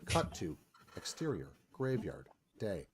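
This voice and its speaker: A man's voice: on the low side, sing-song, slow, a little hiss or hum. A man narrating scripted scene directions as the narrator of a radio theatre read.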